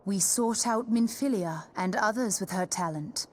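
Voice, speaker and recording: Slow, poised maiden scholar, acted. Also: female voice, mid-range voice, natural voice. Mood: calm, solemn. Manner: calm, formal, deliberate. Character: poised, solemn maiden scholar of a secret order. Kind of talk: video game cutscene acting.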